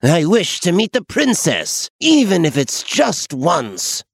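A man's voice: a little high, wildly swooping pitch, slow, creaky, slightly rough, dry recording, studio quality, voice pushed up, full character voice. A man voicing an eager, wishful poor wanderer in a fairy tale, given to exclaiming. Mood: longing. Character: eager, lovestruck poor male wanderer, excitable dreamer.

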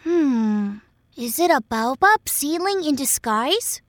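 A woman voicing an earnest, gentle little boy prince from another planet in a children's fairy tale. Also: high voice, lively intonation, slow pace, no room sound, studio quality. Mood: eager.

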